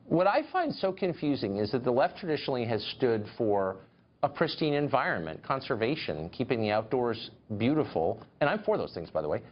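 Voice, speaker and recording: Male news host, lively pitch, pointed questions, slightly dull broadcast sound. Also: fairly quick. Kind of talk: news interview.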